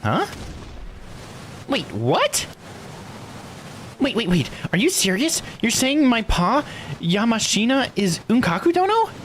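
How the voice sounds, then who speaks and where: A man voicing an excitable, frightened young woman in a video game, startled and questioning. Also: extremely high pitch, takes it slowly, long pauses, slight background noise, pitched above the performer's own voice, exaggerated voice. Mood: incredulous. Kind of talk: video game dialogue read aloud.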